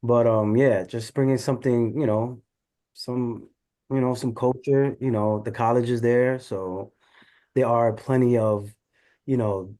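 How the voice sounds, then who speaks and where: A man who owns a café, answering interview questions in a relaxed, laid-back way, often saying "you know". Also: mid-range voice, a little slow. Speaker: café owner, guest.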